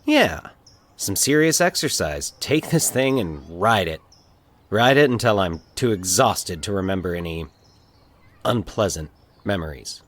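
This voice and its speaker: A man's voice: low-pitched, sing-song, unhurried, a bit bright, heavily acted. A man voicing a grieving man who talks to himself, wistful but resolute, in an audio story. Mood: musing, resolute. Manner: muttering, musing to himself.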